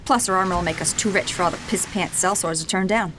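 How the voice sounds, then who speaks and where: A woman's voice: on the low side, fast, over music, natural voice. An actress playing a fierce, headstrong young woman fighter in a fantasy audio drama, curt, defiant and profane.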